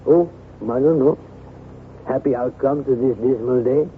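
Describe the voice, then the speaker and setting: Man playing a languid, sly gentleman, tinny old radio. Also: medium pitch, unhurried, slight background noise, lightly acted. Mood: fretful, genteel. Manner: drawling, genteel, arch musing. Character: languid, foppish, slyly insinuating gentleman friend. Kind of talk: old-time radio drama acting.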